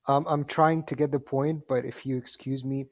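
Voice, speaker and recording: A man's voice: mid-range voice, steady tone, moderate pace. A man whose first language is Arabic, debating casually and politely, often stammering and repeating words as he talks. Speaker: discussion participant in an audio chatroom.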